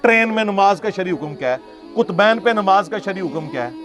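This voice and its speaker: Pakistani guy preaching, high voice, sarcastic n argumentative but chatty, music going behind him. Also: expressive, a little fast. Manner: conversational, sarcastic, argumentative, rhetorical questions. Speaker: Islamic preacher and lecturer. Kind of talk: informal religious lecture in Urdu with quotations.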